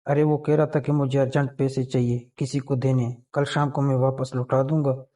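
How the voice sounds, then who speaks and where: A man's voice: medium pitch, flat delivery, normal speed, warm tone, natural voice. A man playing an insistent young man in a staged, conversational phone call, pleading and pressing his point.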